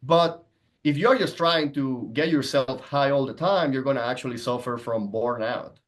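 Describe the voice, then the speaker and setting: A man's voice: medium pitch, moderate pace. A man, explaining and telling personal stories conversationally as a podcast guest. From Venezuela. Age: 30s. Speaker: podcast guest, entrepreneur and coach.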